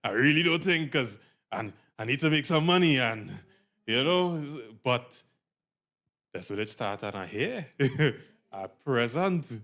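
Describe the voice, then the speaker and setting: Casual Trinidadian man with mid-pitched voice. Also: lively intonation, takes it slowly, fairly bright tone. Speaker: mentor in a performing group. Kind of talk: casual interview chat.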